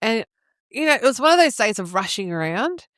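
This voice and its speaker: A woman's voice: on the high side, sing-song, brisk, a bit bright, dry recording, clean studio sound. A woman in her forties with an Australian accent, co-hosting a casual podcast and expressively acting out her own reactions. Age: 40s. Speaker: podcast co-host.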